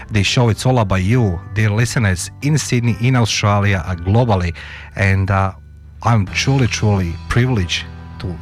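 Low-voiced guy hosting a radio show, rambly and excited, some music faint in back. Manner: rambling, animated, fumbling phrasing. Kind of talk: talk radio interview. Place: radio studio.

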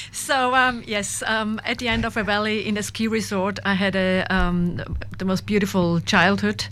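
A young woman from Austria with an Austrian accent, speaking softly and hesitantly as an interview guest. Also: mid-range voice, little pitch movement, unhurried, slight background noise. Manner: soft-spoken, laughs often, hesitant with many ums. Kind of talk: interview conversation.

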